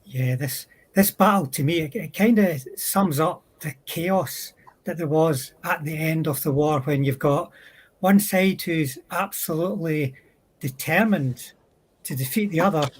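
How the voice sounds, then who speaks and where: A man on a discussion panel explaining military history in a thoughtful, halting way, stretching out words. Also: a little high. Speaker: panel speaker.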